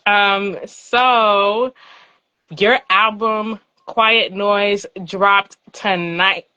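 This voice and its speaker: A woman's voice: medium pitch, fairly even intonation, drawn-out words, sharp tone, clean tone, dull audio. A woman hosting a live-stream series, upbeat and announcer-like, laughing easily with her guest. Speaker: host. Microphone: phone on a live stream.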